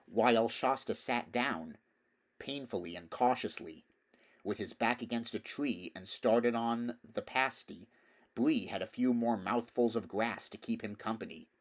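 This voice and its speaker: A man's voice: mid-range voice, measured pace. A man reading a story aloud as scripted narration, in the role of audiobook reader.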